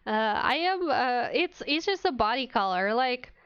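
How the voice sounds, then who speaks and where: A woman's voice: a little high, lively intonation, unhurried, fairly bright tone, clear, resonant tone, no room sound, muffled recording. A woman livestreamer chatting casually with viewers in a playful, mock-indignant, giggly manner. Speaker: streamer, VTuber.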